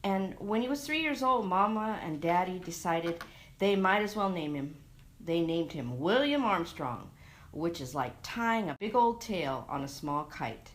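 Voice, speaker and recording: A woman giving a dramatic reading of a literary short story, expressive and measured, recorded on a lavalier mic. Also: lowish voice. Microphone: lavalier microphone into a phone.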